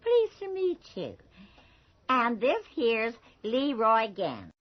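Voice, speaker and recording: An actress playing a shrewd, bossy small-town storekeeper with a folksy, insistent way of talking in a radio drama. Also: female voice, a little high, wildly swooping pitch, takes it slowly, exaggerated voice. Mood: slyly polite. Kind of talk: 1980s radio drama acting. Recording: muffled old radio broadcast.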